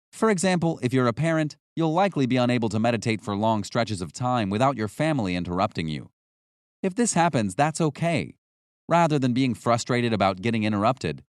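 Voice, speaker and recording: A man narrates a nonfiction audiobook in a dry, close recording. His mid-pitched voice moves through a wide range of intonation. The delivery is scripted, measured and instructional. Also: dry recording.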